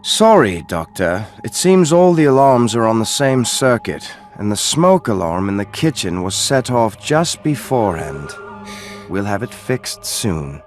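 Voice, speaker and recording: Stern male guard for a game: curt, dismissive, lowish and expressive, faint music behind. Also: unhurried. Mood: apologetic. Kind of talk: video game cutscene acting.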